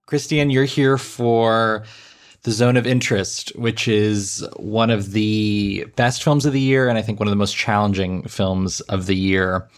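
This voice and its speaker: A man's voice: fairly low pitch, fairly even intonation, a little slow, clean tone. A man interviewing an actor, chatty and restarting his sentences as he goes. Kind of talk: interview and podcast chat.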